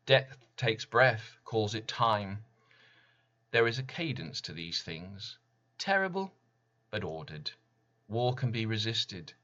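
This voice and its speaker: A man reading his poem as dramatic spoken word, deliberate and intense, with weighty pauses. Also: on the low side, bright, forceful tone, lo-fi sound. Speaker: poet reading own work.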